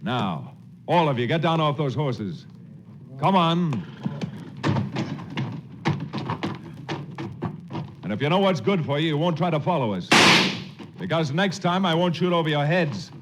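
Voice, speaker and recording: An American actor playing a steady, commanding cowboy hero who also tells his own tale, in 1940s radio western style. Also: fairly high pitch, normal speed, heavy background noise. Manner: cool, authoritative, folksy. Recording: 1940s radio recording with music.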